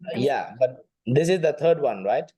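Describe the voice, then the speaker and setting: A man's voice: medium pitch, animated, normal speed, mellow. A man teaching an informal online class as a Buddhist monk, speaking hesitantly and often repeating phrases. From Nepal.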